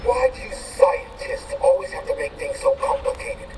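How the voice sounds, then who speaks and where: An actor playing a fervent, faith-preaching faction leader in a video game, deliberate and rhetorical. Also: male voice, very high-pitched, steady tone. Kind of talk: dramatic video game acting. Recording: distant, echoing, noisy scene audio.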